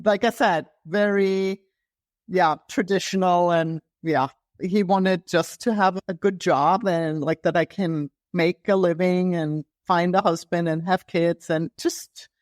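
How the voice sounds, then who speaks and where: A woman's voice: fairly low pitch, steady tone, unhurried, darkish tone, no room sound, clean studio sound. A woman from Germany, a health coach telling her story in an interview, talking with many fillers and restarts. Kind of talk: casual interview conversation.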